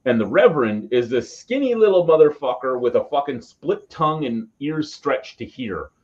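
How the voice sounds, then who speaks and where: Male co-host from Canada, mid-pitched, sweary, animated storyteller, dull lo-fi sound. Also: moderate pace. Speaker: beer show co-host. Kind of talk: casual chat and anecdotes. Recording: dull lo-fi call audio.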